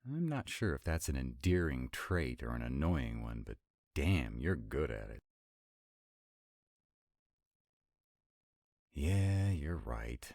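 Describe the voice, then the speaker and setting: Man playing a very deep-voiced sarcastic vampire. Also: big pitch swings, a little slow, vocal fry, darkish tone, no room sound, studio quality, exaggerated voice. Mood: wry, confiding. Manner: sarcastic, conversational, drawling asides. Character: sarcastic male vampire, irritable, wry, rebellious council member. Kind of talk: intimate one-sided roleplay audio acting.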